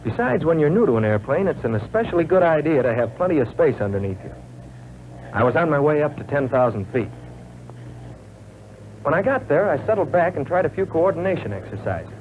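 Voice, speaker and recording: An actor playing an earnest young American military pilot, recounting his flight training in measured, folksy 1940s training film narration. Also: fairly high pitch, sing-song. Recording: tinny, noisy old film soundtrack.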